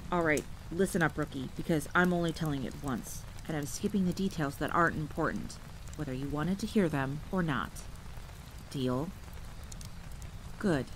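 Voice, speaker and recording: A woman playing a gruff, no-nonsense young vampire hunter, a reluctant and matter-of-fact storyteller in an audio roleplay. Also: sits low, lively intonation, moderate pace, poor audio. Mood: grudging, curt. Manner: curt, grudging, matter-of-fact. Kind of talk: dramatic campfire storytelling roleplay. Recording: clear rain and ambience noise. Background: rain, rolling thunder, campfire ambience.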